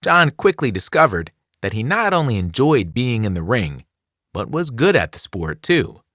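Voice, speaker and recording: A man narrating a scripted children's non-fiction book in an expressive, clearly enunciated storytelling delivery. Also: medium pitch, moderate pace, dry recording, studio quality. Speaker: audiobook narrator.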